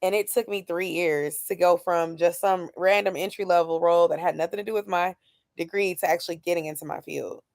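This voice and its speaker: A woman's voice: fairly low pitch, steady tone, brisk, vocal fry, a little mellow, dry recording, clean studio sound. A woman in her late twenties, chatting candidly and thinking aloud about her plans while answering comments from her viewers. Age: 20s. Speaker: YouTuber doing a weekly check-in. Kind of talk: casual chat with a live chat.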